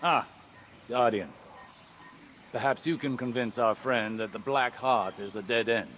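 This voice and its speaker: An actor playing an earnest, cautious male mentor in a sci-fi game, with deliberate, formal, weighty delivery. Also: mid-range voice, fairly bright tone, slight background noise, close to an everyday voice. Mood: concerned. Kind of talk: scripted game cutscene dialogue and narration.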